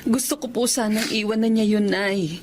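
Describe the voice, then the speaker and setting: A woman's voice: mid-range voice, moderate pace, a little mellow, slight background noise, close to an everyday voice. A woman playing a worried, pleading middle-aged wife and mother in a Filipino radio drama, with a Filipino accent.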